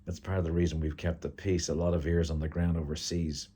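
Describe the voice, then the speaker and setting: A man's voice: very deep voice, little pitch movement, fairly quick, dark tone, husky. A man with an American accent voicing a guarded, weary intelligence man talking to friends in a story.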